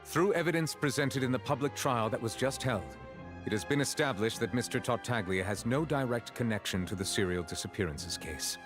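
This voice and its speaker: A man playing a stern, composed chief judge in a video game, formal, grave and measured. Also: medium pitch, lively intonation, music in the background, lightly acted.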